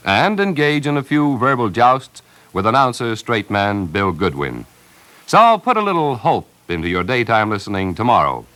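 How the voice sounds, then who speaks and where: Lively American network radio announcer man. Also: mid-range voice. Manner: polished, jaunty salesmanship. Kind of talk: 1950s radio promo, scripted.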